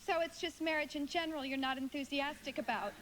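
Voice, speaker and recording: A woman's voice: sits high, fast, fairly bright tone. A woman acting in a live radio play as a coy, hopeful young single woman who is easily exasperated. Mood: probing. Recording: very noisy live stage radio recording.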